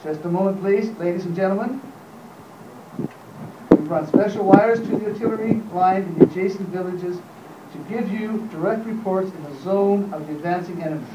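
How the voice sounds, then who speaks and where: A man's voice: sits high, dull audio, lightly acted. A man playing a formal, urgent radio newsreader in a live radio play, recorded in a roomy space from a distance. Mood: grave, urgent. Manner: formal, measured reading. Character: urgent radio newsreader reading war bulletins. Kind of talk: 1930s radio drama reading. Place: hotel conference room, live panel reading. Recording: roomy, distant mic, faint noise.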